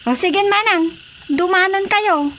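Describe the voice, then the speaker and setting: A woman's voice: very high-pitched, measured pace, a bit bright, a little hiss or hum. A Filipino woman playing a nosy, teasing, gossipy auntie in a radio drama comedy. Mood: chirpy, sly.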